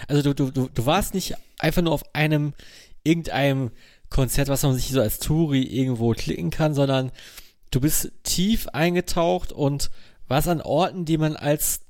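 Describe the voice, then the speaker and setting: A man in casual podcast chat, haltingly repeating words as he searches for phrasing. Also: a little high, a little mellow, no echo, studio quality. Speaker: podcast co-host.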